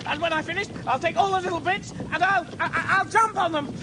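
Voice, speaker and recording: A man's voice: very high voice, fast talker, noisy background. An actor playing a bewildered, indignant ordinary man with an English accent and a spluttering, sarcastic manner in a radio comedy. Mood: outraged.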